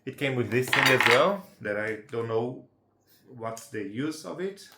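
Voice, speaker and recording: A man's voice: medium pitch, animated, a little slow, very noisy. Chatty Brazilian man, echoey room. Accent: Brazilian Portuguese. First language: Portuguese. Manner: chatty, checks in with tag questions like "right?" and "yeah?". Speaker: amateur how-to presenter. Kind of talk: casual unedited tutorial.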